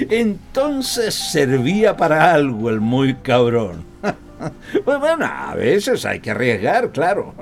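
Casting: middle-aged Costa Rican dad, radio drama, crafty and jovially scornful, very animated pitch, unhurried. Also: male voice, gravelly, faint music behind, exaggerated voice. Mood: smug, amused. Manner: chuckling, needling, folksy. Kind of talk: radio drama acting, one side of a phone call.

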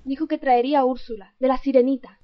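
A woman playing a cheeky, excitable young witch prefect in an amateur radio play. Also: high voice, fast, close to an everyday voice. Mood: defensive. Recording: dull, noisy home-made recording.

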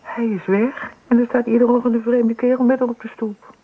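A woman's voice: fairly high pitch, level tone, fairly quick, slightly rough, phone-quality sound. An actress playing a suspicious, gossiping neighbour woman in a radio drama, indignant in manner, on a thin old recording.

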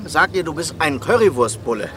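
A man's voice: on the high side, sing-song, fairly quick, fairly bright tone, slight background noise. A man playing a detective's blunt, talkative buddy in a crime radio drama, colloquial and needling.

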